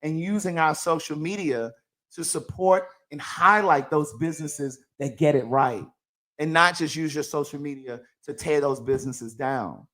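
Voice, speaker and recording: A man co-hosting a talk show in expressive, teasing casual banter. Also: on the high side, moderate pace.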